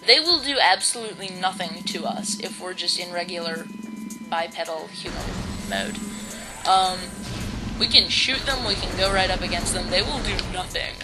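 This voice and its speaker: Teenage boy, high-pitched voice, live gameplay commentary, rambling, lots of ums, game music behind. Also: rough recording. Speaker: let's play commentator. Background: video game music.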